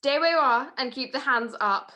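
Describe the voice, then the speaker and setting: A high, sharp-voiced society dame acted by a woman from a 1940s radio detective play. She is a cornered, defiant schemer who snaps back in indignant, clipped retorts. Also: moderate pace. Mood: threatening, defiant. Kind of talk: old-time radio detective drama.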